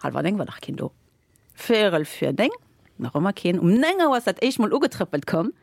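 A woman, a guest in a casual interview, telling stories with lots of fillers and lively laughter. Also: medium pitch, a little fast, vocal fry, no room sound, clean studio sound. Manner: animated, laughing while telling anecdotes, many fillers. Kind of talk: casual storytelling.

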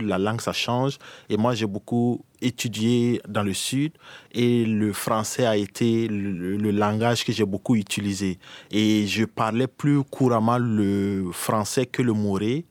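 A man's voice: lowish voice, steady tone, measured pace, no room sound. A young man from Burkina Faso being interviewed, speaking in a hesitant way with many restarts. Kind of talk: casual conversation.

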